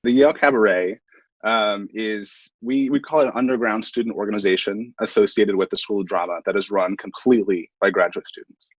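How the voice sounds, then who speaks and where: A young man, a graduate student, giving thoughtful interview answers in a halting way, pausing to pick his words. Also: on the low side, husky. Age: young adult. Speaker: stage management graduate student. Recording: muffled, roomy interview sound.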